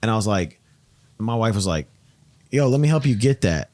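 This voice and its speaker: A man in his late thirties hosting a casual podcast chat, swearing freely and telling animated stories. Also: on the low side, normal speed, no echo. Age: 30s. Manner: profane, animated storytelling. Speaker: podcast host.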